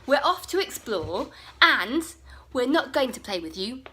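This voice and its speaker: Storybook figure: a woman playing an exasperated little boy dinosaur, firm and bright. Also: sits high, very animated, measured pace, breathy edge, full character voice. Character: fed-up young male dinosaur friend.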